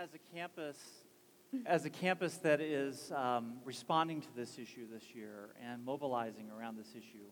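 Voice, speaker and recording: A man's voice: medium pitch, slight background noise. A man introducing a guest and asking her questions at a campus assembly, in a polite, formal way. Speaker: campus leader hosting the assembly.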